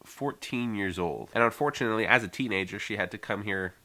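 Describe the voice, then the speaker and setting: A man's voice: lowish voice, fairly quick, pushed and bright. A young American man talking casually and earnestly to the camera in a rambling, heartfelt vlog monologue. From United States. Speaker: vlogger.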